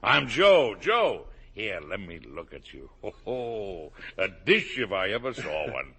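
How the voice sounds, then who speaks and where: Folksy old american dad, gravelly n husky, big swoopy delivery, old radio play. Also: male voice, on the low side, sing-song, unhurried, fairly bright tone, exaggerated voice. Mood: jovial, hearty. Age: older. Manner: folksy, hearty, chuckling. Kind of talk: 1950s radio drama acting. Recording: muffled vintage radio broadcast.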